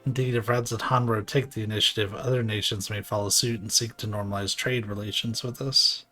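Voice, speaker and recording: Man reading as a low, respectful diplomat. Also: fairly even intonation, a little fast, pushed and bright, faint music behind. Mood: grateful, agreeable. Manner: polite, formal diction.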